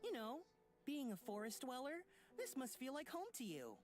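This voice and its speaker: A woman acts a pampered, flirtatious visual novel character. The delivery is coy and theatrical, swinging widely in pitch, with music clearly behind it. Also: sing-song, normal speed, darkish tone. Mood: teasing. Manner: coy, dramatic complaining.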